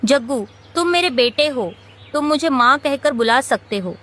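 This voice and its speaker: A woman's voice: high-pitched, animated, normal speed, fairly bright tone. A woman voicing a caring, protective mother bird in a children's cartoon. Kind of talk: animated children's story acting.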